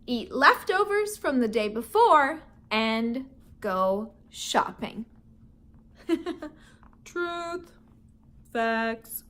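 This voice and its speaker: A woman's voice: fairly high pitch, very animated, very slow, long pauses, fairly bright tone. A woman teacher reading a children's book aloud and teaching vocabulary words, playful and laughing often, with chatty asides to young listeners.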